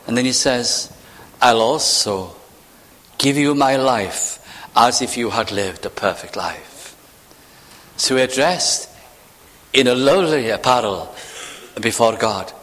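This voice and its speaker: A man preaching a sermon, acting out imagined voices and sinking to hushed, near-whispered phrases. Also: mid-range voice, in a large hall. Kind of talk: evening sermon.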